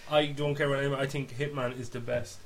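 A man's voice: mid-range voice, fairly even intonation, fairly quick, vocal fry. Blunt man chatting over a faint hiss. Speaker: podcast co-host. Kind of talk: casual, opinionated chat about films. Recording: hissy background noise.

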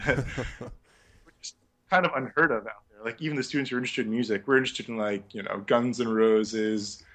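A young man, once a music teacher, answers interview questions in a relaxed, reflective way, often pausing with fillers and restarting his sentences. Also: medium pitch. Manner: reflective, many fillers and restarts, laughs lightly. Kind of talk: casual conversational interview.

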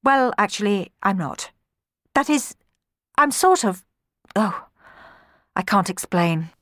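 A woman voicing a gentle, wronged young kitchen girl in a storybook, halting and pleading but spirited. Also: mid-range voice, lively intonation, dry recording, clean studio sound, pitched above the performer's own voice. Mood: flustered, hesitant. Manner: stammering, pleading, muttering.

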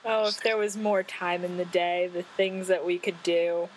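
A woman's voice: on the low side, level tone, moderate pace, background noise. A woman hosting a casual podcast, teasing her guests and laughing easily. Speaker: podcast host. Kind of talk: casual chat.

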